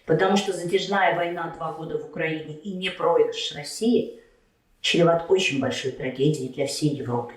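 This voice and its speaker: A woman in a heated political debate, arguing with sharp, combative retorts. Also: low voice, moderate pace, reverberant, distant mic. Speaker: debate candidate. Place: Kyiv.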